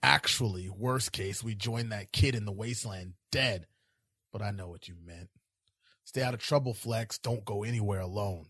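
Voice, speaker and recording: A man playing a world-weary, cynical police detective in a fan-made sci-fi noir audio drama, terse and deadpan. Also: lowish voice, normal speed, husky, dry recording, studio quality. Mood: weary, cautioning. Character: world-weary hard-boiled male police detective in space.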